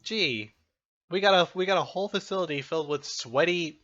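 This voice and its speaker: A man's voice: a little high, brisk, fairly bright tone. A man doing casual, jokey let's play commentary, reacting aloud to a game and reading its text. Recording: muffled lo-fi mic, music behind.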